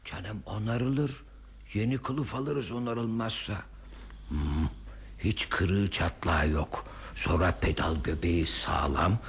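An actor playing an older man in a radio play, a handy, dreamy enthusiast who coaxes and reassures in a husky voice. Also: fairly low pitch, slow, a little hiss or hum. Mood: coaxing. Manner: coaxing, reassuring. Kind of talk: radio theater acting.

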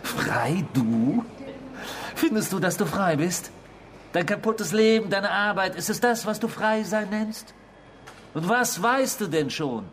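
A man's voice: high-pitched, animated, slow pace, a little hiss or hum. An actor playing a man's cruel, taunting inner self in a German radio drama, speaking in an insinuating, theatrical, mocking way.

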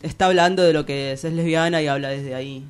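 A woman's voice: sits low, fairly even intonation, fast, clean tone. A young Argentine woman with a Rioplatense accent, giving a casual interview in a rambling, hesitant, slangy and giggly way. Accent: Rioplatense Spanish. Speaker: interview guest, poet.

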